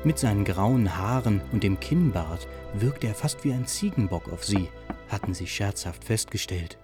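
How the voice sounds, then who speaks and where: A man's voice: on the low side, lively intonation, normal speed. A man narrating an audio drama as a scripted storyteller. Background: music.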